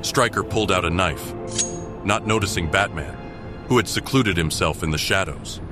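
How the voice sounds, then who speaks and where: A man's voice: sits low, normal speed. A man delivering scripted, dramatic story narration for an audio drama. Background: music.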